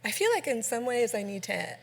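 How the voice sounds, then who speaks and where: A woman from Canada speaking as a scholar on a panel, measured and halting with many fillers. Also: on the high side, very animated, creaky. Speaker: scholar on a book festival panel. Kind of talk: panel discussion.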